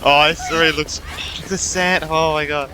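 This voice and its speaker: A man's voice: a little high, steady tone, normal speed, noisy background. A man giving casual let's play reactions to a game, in short exclamations. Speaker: gaming streamer.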